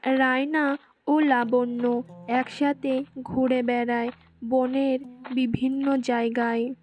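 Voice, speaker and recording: A woman narrates a scripted fairy tale. Her voice is high and her delivery slow and measured, with music clearly playing underneath. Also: little pitch movement, a little mellow.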